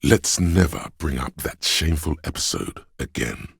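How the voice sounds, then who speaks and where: A commanding inner voice of authority for a video game, extremely deep in pitch and very smooth. The delivery is haughty, pompous and measured, like a stern narrator who expects to be obeyed. The recording is close and dry. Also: male voice, sing-song, no echo, exaggerated voice.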